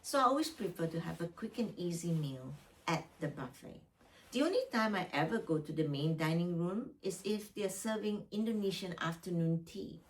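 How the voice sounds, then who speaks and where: Low-voiced woman vlogger, distant mic. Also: lively intonation, moderate pace. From Malaysia. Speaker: solo travel vlogger. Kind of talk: casual packing vlog. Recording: distant mic, slight background noise.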